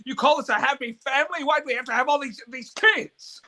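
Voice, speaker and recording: A man's voice: very high-pitched, fairly quick, pushed and bright. A man playing an agitated, irritable father and husband in a radio play reading, with a stammering, emphatic delivery. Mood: bitter, irritable.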